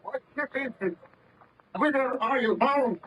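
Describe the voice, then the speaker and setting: An actor playing a weak, driven baron in an old radio drama, speaking in formal, archaic phrases with anguish. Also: male voice, very high voice, unhurried, a bit bright, slight background noise. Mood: weak, urgent. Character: weak, driven baron rescued from the Arctic ice. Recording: dull old radio recording.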